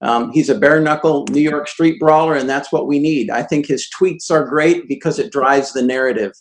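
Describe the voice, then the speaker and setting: Man, kinda high voice, forceful debate answer. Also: moderate pace. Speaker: political candidate.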